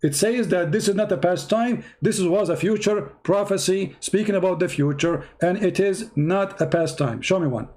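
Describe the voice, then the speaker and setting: A man's voice: high voice, moderate pace, hardly any pauses, darkish tone. A man whose first language is Arabic hosts a heated debate, mocking and pressing a caller with repeated questions. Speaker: debate show host. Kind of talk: heated live debate call.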